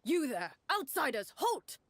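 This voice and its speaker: A woman's voice: high voice, sing-song, measured pace, heavy background noise, heavily acted. A woman voicing a stern, suspicious wounded male captain of the guard in a fantasy game. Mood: commanding, suspicious.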